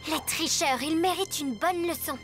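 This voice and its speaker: A woman's voice: high voice, normal speed, fairly bright tone. A woman voicing a feisty, confident little schoolgirl in a cartoon, with music behind her. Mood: resolute. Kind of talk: French cartoon dub acting.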